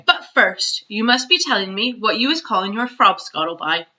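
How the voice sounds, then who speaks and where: A woman voicing a big, kindly, excitable old male giant from a storybook, with mangled grammar and nonsense words. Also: medium pitch, normal speed, lo-fi sound. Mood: earnest explaining.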